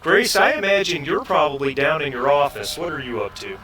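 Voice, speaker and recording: A man's voice: a little high, animated, brisk, sharp tone, a little hiss or hum. A man chatting casually as he runs an online tabletop role-playing game over a voice call. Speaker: game master.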